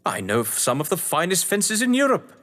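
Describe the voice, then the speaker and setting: In a long, hall-like reverb comes a man acting a pompous English schemer, a crime writer from an audio play. His voice sits on the high side and swings in pitch as he talks down to his listener with cunning relish. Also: wildly swooping pitch, a little fast, fairly bright tone, heavily acted. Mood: smug, persuasive. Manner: pompous, theatrical, condescending, elaborately wordy. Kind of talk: theatrical audio drama acting.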